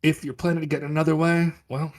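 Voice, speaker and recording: A man's voice: fairly high pitch, little pitch movement, quick pace, pitched above the performer's own voice, natural voice. A man voicing a polite, businesslike coachman in a fantasy tabletop game, with courteous servant-like address. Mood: brisk, matter-of-fact.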